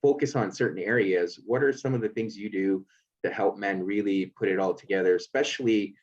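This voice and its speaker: A man's voice: fairly low pitch, brisk, a little mellow, muffled recording. A man hosting a podcast and life coaching, reading an earnest intro and then asking questions with many fillers.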